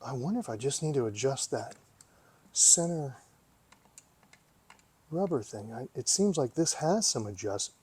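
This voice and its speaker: A man's voice: mid-range voice, lively intonation, a little slow, pauses often, darkish tone, husky. A man giving casual hands-on commentary as he tinkers, thinking aloud and often asking himself questions. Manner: musing, talks to himself, asks himself questions. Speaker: vlogger, hobby repair host.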